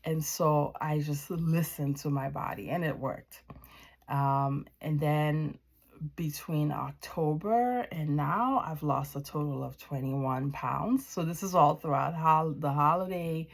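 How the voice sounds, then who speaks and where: A woman from Haiti shares a personal testimony with viewers in a reflective, deliberate way, often pausing mid-sentence. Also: low voice, gravelly. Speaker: wellness practitioner giving testimony.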